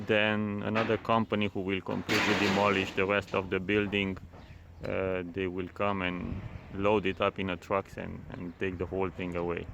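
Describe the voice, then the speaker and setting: A man's voice: on the low side, background noise. A man in his thirties with a Romanian accent, chatting casually as he walks through a construction site. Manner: chatty, repeats words while talking. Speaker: vlogger. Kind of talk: casual vlog walkthrough.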